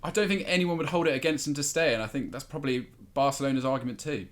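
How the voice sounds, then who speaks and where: Bright, crisp-toned man debating football. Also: medium pitch, fast talker. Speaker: podcast guest pundit.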